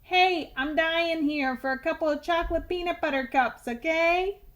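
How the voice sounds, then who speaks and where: A woman's voice: very high voice, moderate pace, a bit bright, voice pushed up. A woman voicing a brash, nagging, impatient talking tooth that demands candy in a storybook reading. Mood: impatient, pushy.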